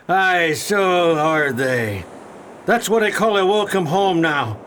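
Man playing a high-voiced swaggering pirate. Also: sing-song, drawn-out words, a bit bright, a little hiss or hum, full character voice. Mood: gloating. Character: gloating, swaggering pirate crewman. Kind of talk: period pirate radio drama acting.

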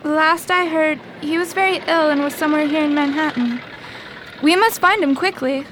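A gracious young wife from Brazil in a radio play, played by a woman. Her voice is very high, polite and earnest, with faint noise behind it. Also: normal speed, a bit bright. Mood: worried. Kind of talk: radio drama acting.

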